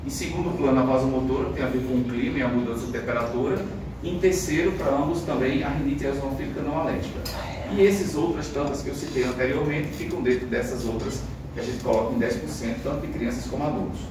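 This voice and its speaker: A Brazilian Portuguese-accented man lectures a class in a loose, conversational way, peppering his points with quick check-ins. He sounds a cold-ridden teacher caught from far across a very echoey room, with steady background noise. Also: mid-range voice. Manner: conversational, frequent fillers and tag questions. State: has a cold. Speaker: medical lecturer.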